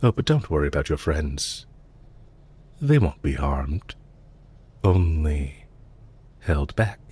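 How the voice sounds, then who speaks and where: A man playing a suave, calculating villain leader in an intimate audio roleplay, speaking to the listener politely and softly, with measured, lightly teasing courtesy. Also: very deep voice, big pitch swings, breathy edge, heavily acted. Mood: probing, assured.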